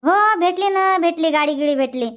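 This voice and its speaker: A woman's voice: very high voice, moderate pace, fairly bright tone. A woman voicing chatty, sociable village women in a cartoon comedy, speaking colloquial Vidarbha Marathi with repeated phrases. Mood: animated gossip. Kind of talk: cartoon comedy dubbing in rural dialect.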